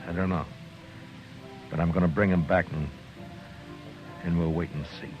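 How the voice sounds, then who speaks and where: Actor as deep-voiced grim western lawman. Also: steady tone, close to an everyday voice. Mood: grim, determined. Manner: terse, deliberate, understated. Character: grim, stubborn frontier lawman, grown man, tender toward friends. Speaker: lead actor and narrator. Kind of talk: 1950s western radio drama acting. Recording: dull old radio recording with music.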